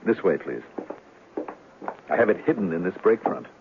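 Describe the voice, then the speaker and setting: A man's voice: fairly low pitch, big pitch swings, moderate pace, creaky, noisy background. A man playing a polite, uneasy art dealer in a 1970s radio drama, formal and deferential. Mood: reluctant. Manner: formal, courteous, reluctant. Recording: narrow-band vintage radio broadcast.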